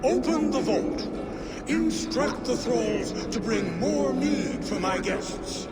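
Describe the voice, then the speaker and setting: A man's voice: very high voice, lively intonation, slow, over loud music, full character voice. A man acting in a video game as a proud, commanding giant lord and father, with a declamatory delivery. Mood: imperious.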